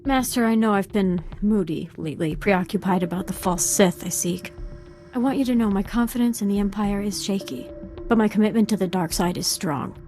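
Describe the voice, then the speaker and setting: An actress plays an intense young female Sith apprentice in a video game. She speaks deliberately at a medium pitch, with dark, breathy relish over faint music. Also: close to an everyday voice. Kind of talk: scripted video game dialogue acting.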